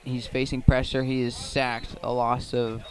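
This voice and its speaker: A man doing live radio play-by-play of a football game in measured, factual short phrases, speaking from outdoors at the field. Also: mid-range voice, little pitch movement. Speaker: play-by-play commentator.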